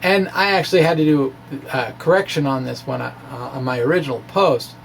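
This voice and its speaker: A man giving an informal science explanation in a halting way, as if thinking aloud. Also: mid-range voice, creaky, slight background noise. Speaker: amateur scientist presenting his blog.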